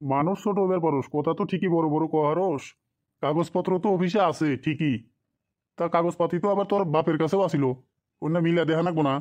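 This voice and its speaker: A man's voice: a little high, brisk, darkish tone, lo-fi sound, close to an everyday voice. A man voicing a stingy, scheming office boss in a cartoon drama, brusque and condescending, in a rural Bengali dialect. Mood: dismissive. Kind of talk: amateur cartoon drama dialogue.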